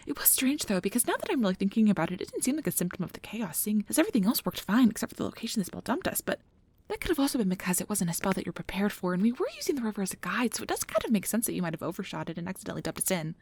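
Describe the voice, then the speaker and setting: A woman playing a nervous, caring young magic researcher in an ASMR audio drama, rambling in a flustered, halting, apologetic way. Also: mid-range voice, very animated, a little mellow, slight background noise. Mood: concerned, thoughtful.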